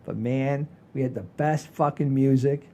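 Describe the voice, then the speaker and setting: A man in his sixties with a soft-edged, mellow voice at a middling pitch hosts a comedy podcast. He chats casually, like someone telling stories at home, leaning on repetition for emphasis and cursing without fuss. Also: fairly even intonation, unhurried. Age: 60s. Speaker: podcast host. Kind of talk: casual comedic storytelling chat.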